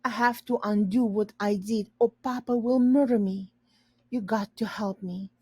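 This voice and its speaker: A woman's voice: medium pitch, steady tone, normal speed, darkish tone, slightly rough, dry recording, clean studio sound, natural voice. A woman voicing a tiny, panicky, bossy older brother in a storybook, with snappish urgency. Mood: anxious.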